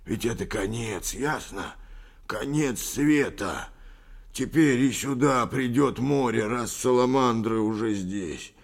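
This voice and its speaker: A man voicing a frightened, guilt-ridden old father in an audiobook, with a hoarse, trembling, muttering delivery. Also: sits low, expressive, a little slow, exaggerated voice. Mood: guilt-stricken. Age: older. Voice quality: husky, hoarse, whispering at times.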